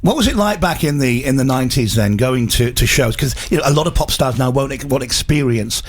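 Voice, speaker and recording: A man's voice: medium pitch, expressive, a little fast. A man hosting a radio show, interviewing a singer in the studio in an upbeat, joking way. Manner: jokey, upbeat presenter. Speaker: radio presenter. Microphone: studio microphone. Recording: radio show with music bed.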